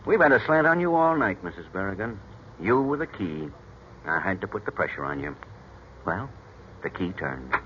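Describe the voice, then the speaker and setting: An actor playing a shrewd, stern police inspector, clipped and authoritative, in a 1940s radio drama. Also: male voice, deep voice, sing-song, moderate pace. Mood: pressing. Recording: dull, noisy 1940s radio recording.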